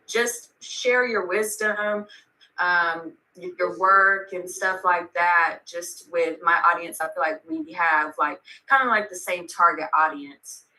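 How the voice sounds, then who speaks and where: A woman's voice: on the low side, sharp tone, rough recording. A young woman hosting a podcast, chatting casually with her guest and using lots of "like" and "um". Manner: chatty, full of fillers like "like" and "um". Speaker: podcast host. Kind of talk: casual interview.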